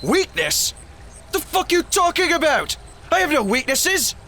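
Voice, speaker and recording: A man's voice: very high voice, sing-song, unhurried, sharp tone, slight background noise, heavily acted. A man playing a cocky, hot-tempered, hard-drinking champion fighter in an audio drama, brash, matey and swaggering. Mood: angry, taunting.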